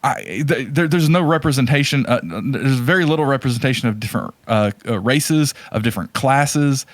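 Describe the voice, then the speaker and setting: A man's voice: mid-range voice, expressive, moderate pace, dry recording. A man co-hosting a podcast, chatting casually and giving strong opinions about movies with exasperated emphasis, often repeating words for stress. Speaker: podcast co-host.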